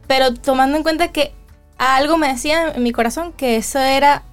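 A woman with a Venezuelan accent answering as an interview guest, telling her story conversationally with reflective pauses. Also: high-pitched, steady tone, faint music behind. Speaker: interview guest, singer and violinist.

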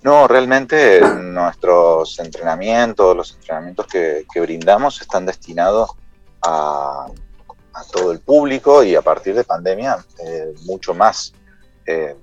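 Guy explaining stuff in an interview, really low voice, halting, muffled lo-fi line. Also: animated, no room sound, faint music behind. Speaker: interview guest, company CEO.

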